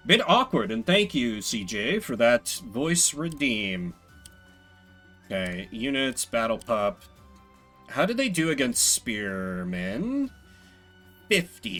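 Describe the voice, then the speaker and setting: A man's voice: medium pitch, fairly bright tone. A man streaming a strategy game, musing aloud as he talks himself through his decisions. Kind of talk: live strategy game commentary, thinking aloud.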